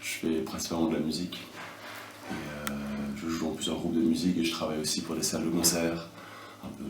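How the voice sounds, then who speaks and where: A man's voice: rumbling low, completely flat delivery, fast, music in the background. A French man in his twenties, a musician, answering interview questions and searching for words. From France. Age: 20s. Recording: roomy, distant, faint noise.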